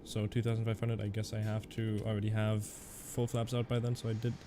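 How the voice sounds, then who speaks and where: A man's voice: on the low side, completely flat delivery, fairly quick, background noise. Young man with a Canadian-German accent, streaming. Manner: chatty, reads chat aloud and answers viewers. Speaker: flight sim streamer. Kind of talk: casual live-stream commentary.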